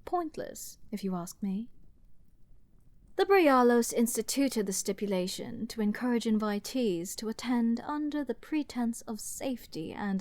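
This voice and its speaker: Woman acting a slow-talking arrogant scheming princess. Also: a little high, darkish tone. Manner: haughty, theatrical, slow and teasing. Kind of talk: dramatic fantasy audio roleplay with ASMR whispering.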